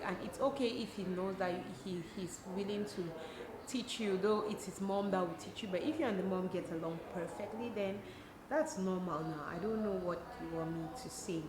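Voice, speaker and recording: Casting: female vlogger, Cameroonian accent, emphatic and conversational, mid-range pitch; echoey, noisy home-style recording. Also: normal speed. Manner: animated, emphatic, conversational, rhetorical questions. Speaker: host of a YouTube channel. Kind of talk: casual advice vlog answering viewer questions. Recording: echoey room, clear background noise.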